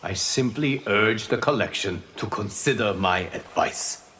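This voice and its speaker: Lofty advisor deity, male actor: lowish voice, courteous, unhurried game delivery over a noisy backdrop. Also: natural voice. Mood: measured counsel. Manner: measured, dignified, courteous. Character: calm, wise, lofty fire god advisor. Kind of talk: fighting game character acting.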